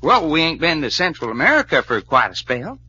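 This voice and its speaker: A folksy Southern adventurer in a 1940s radio serial, eager and colloquial. The voice is fairly high and husky, with lively swings, on a dull old radio recording. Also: male voice, fairly quick, full character voice. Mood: eager, coaxing. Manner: drawling, folksy, colloquial speech. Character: folksy, eager, hungry adventurer pal named Doc.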